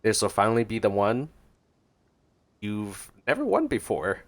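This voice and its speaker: Animated man doing casual game commentary. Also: fairly low pitch, unhurried. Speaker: gameplay streamer.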